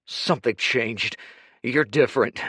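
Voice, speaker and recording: A man voicing a huge, hot-tempered young man who shouts and snarls, his voice cracking with grief. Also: medium pitch, takes it slowly, no echo, studio quality, pitched above the performer's own voice. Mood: raw, menacing.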